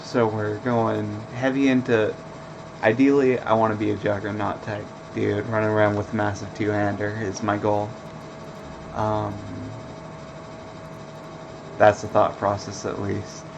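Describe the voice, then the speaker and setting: A man commenting on his game stream in a flat, monotone way, taking his time with a slow, unhurried pace. His voice sits on the lower side. A clear air-conditioning hum runs underneath. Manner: monotone, laid-back with fillers. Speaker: let's play streamer. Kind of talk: casual gameplay commentary.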